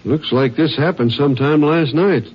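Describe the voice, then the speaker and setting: An actor playing an earnest, helpful small-county sheriff, plain-spoken and folksy, in 1950s western radio drama style. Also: male voice, a little high, normal speed. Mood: sombre, helpful. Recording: dull, clearly noisy vintage radio recording.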